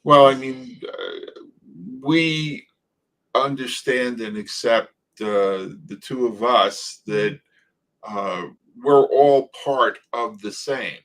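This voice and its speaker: A man co-hosting an interview, speaking in a halting way with many filler pauses. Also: mid-range voice, fairly bright tone, rough recording. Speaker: podcast co-host. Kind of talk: casual interview chat.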